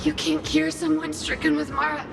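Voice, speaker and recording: Anxious, very high, creaky-voiced young woman. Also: flat delivery, music in the background. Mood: sad, grateful. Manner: hesitant, halting. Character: earnest, anxious young woman stricken by a curse. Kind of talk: video game voice acting.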